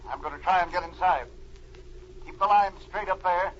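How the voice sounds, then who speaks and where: An actor playing a brave, decisive special agent and diver in an old radio adventure, reporting in clipped statements. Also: male voice, high voice, normal speed, a bit bright. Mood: focused. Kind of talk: 1940s radio adventure acting. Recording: muffled, noisy old radio recording.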